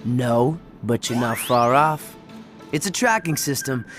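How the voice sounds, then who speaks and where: A man's voice: mid-range voice, lively intonation, measured pace, a bit bright. A cocky teen car guy, kinda dry and blunt, game music under. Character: cocky teen boy mechanic, guarded and blunt. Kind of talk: video game cutscene acting.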